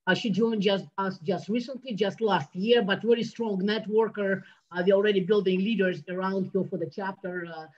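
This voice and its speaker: A woman hosting an online meeting, introducing each guest in a halting way with many filler sounds. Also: medium pitch, steady tone, darkish tone. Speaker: meeting host introducing board members. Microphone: video call. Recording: muffled lo-fi call audio.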